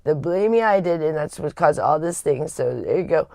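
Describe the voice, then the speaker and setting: A woman with a raspy voice, hosting a livestream and telling a personal story in a candid, rambling way with frequent restarts. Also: low-pitched, lively intonation, brisk, darkish tone, dry recording. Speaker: livestream host.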